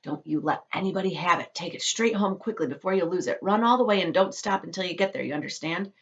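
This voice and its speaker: A woman's voice: on the low side, quick pace, muffled recording, close to an everyday voice. A woman voicing a fat male shopkeeper, brusque yet kindly and protective. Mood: urgent hush.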